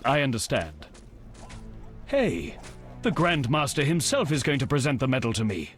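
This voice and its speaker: An actor playing an earnest, idealistic young knight in a video game, formal and eager. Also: male voice, medium pitch, very animated, normal speed, faint music behind, natural voice. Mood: proud, eager. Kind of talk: video game dialogue acting.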